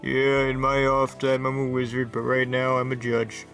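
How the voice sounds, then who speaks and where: A man voicing a bumbling wizard who works as a judge, talking in a slow, rambling, absent-minded way. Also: medium pitch, completely flat delivery, a bit bright, soft background music, natural voice. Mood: pompous. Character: bumbling middle-aged male judge who is also a wizard. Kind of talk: comedic brickfilm voice acting.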